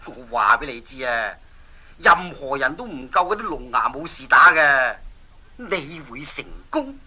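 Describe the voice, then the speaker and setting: A man's voice: mid-range voice, very animated, sharp tone, full character voice. A man with a Hong Kong accent playing a scheming, menacing king in a children's radio drama, with mocking, commanding delivery. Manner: mocking, drawn-out threats.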